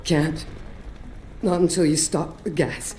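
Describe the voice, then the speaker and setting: A woman's voice: low voice, steady tone, takes it slowly, dark tone. A woman playing a tough, wry operative in a video game, badly wounded and speaking with labored, pained determination. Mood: pained urgency. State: weak, labored, in pain. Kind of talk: video game dramatic acting. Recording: echoey space with faint music.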